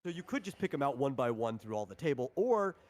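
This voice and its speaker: A man's voice: mid-range voice, big pitch swings, quick pace. A man casually explaining tabletop game rules in an informal, conversational way as he runs a session. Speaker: game designer running a tabletop RPG session.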